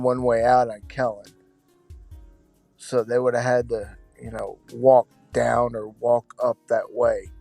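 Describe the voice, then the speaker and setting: A man's voice: mid-range voice, gravelly, a little mellow, dry recording. A man chatting casually as a livestream guest, reflective and agreeable in a conversational way. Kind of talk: casual case discussion.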